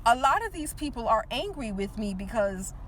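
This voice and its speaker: A woman's voice: a little high, very animated, moderate pace, a bit bright, a little hiss or hum. A woman in her forties talking to the viewer in a casual motivational monologue, often checking in with "right?" and repeating words for emphasis. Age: 40s. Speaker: teacher and motivational speaker.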